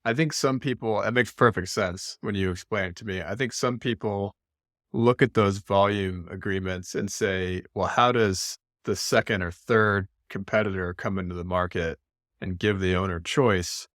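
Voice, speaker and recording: A man's voice: deep voice, fairly even intonation, moderate pace, a bit bright, dry recording, clean studio sound. A man hosting a podcast interview, asking long, thoughtful questions with small hesitations. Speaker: podcast host.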